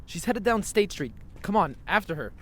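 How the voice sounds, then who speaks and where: An actor as a bold young male investigator in an audio drama. His pitch moves in a lively way, and he sounds eager, urging and sarcastic. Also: normal speed, slight background noise, full character voice. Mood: eager, wry. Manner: urging, wry.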